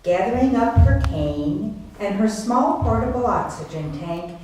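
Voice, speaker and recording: In a very echoey room with faint noise, a woman narrates a scripted drama. She reads slowly, with lively, expressive swings of pitch. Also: distant mic.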